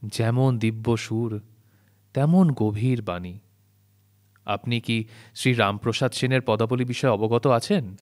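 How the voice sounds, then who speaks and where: A man's voice: mid-range voice, unhurried, long pauses, natural voice. An actor playing a deferential, courteous man of standing in an audio story, guarded and concerned, speaking in a respectful old-fashioned way. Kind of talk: dramatized audio story acting. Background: plucked string instrument music.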